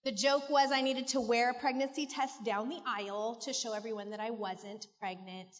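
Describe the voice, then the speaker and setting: Middle-aged woman, American accent, high voice, heartfelt testimony, long reverb of a large hall. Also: fairly even intonation, normal speed. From California, United States. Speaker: guest giving personal testimony. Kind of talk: personal testimony to a church audience. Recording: muffled, echoey large hall.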